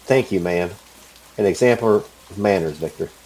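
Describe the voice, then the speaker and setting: A man's voice: sits low, moderate pace, a little mellow, lightly acted, poor audio. A man playing a teasing army captain who speaks with exaggerated, mock politeness. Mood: mock-polite.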